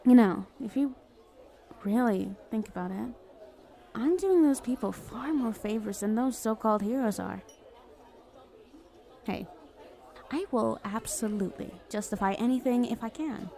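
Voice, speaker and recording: A woman's voice: fairly high pitch, unhurried, vocal fry, long pauses, warm tone, a faint crowd. A woman playing a flirty, self-justifying villain in an ASMR roleplay, talking in a casual, playful, sardonic way. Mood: smug, playful. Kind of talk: acted ASMR roleplay monologue.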